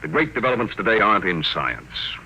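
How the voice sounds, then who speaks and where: A man's voice: on the low side, big pitch swings, fairly quick, pushed and bright, heavily acted. An actor playing a brusque, dynamic self-made male tycoon with a curt, commanding manner in a 1950s radio drama. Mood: assertive. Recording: muffled old radio recording with hiss.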